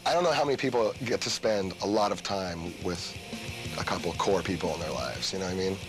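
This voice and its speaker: A man's voice: sits low, quick pace. A man in his twenties, a band singer, talking frankly and bluntly in a confessional interview. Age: 20s. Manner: frank, blunt, laughs through stories. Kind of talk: reality show confessional interview. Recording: music bed under the voice.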